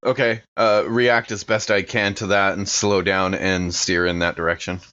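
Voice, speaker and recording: Low-voiced man, slightly muffled, playing casually. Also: little pitch movement, normal speed, bright, forceful tone, clean tone. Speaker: tabletop game player. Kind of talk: casual improvised game talk.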